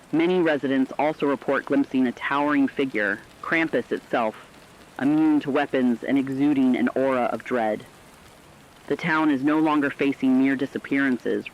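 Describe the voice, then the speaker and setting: A man acting as a solemn radio reporter, relaying eerie accounts in a measured, formal and foreboding horror narration. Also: medium pitch, lo-fi sound, slight background noise, close to an everyday voice.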